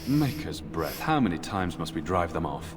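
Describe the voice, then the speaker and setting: An actor playing a dutiful, weary knight commander in a fantasy video game, speaking formally and gravely. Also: male voice, low-pitched, big pitch swings, normal speed. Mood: formal, guarded. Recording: game dialogue in long reverb under music.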